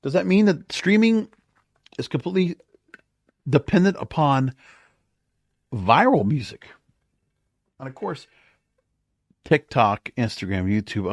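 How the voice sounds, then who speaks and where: A man's voice: medium pitch, very animated, unhurried, long pauses, dry recording. A man hosting a podcast, giving casual solo commentary in an emphatic way, full of rhetorical questions. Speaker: podcast host.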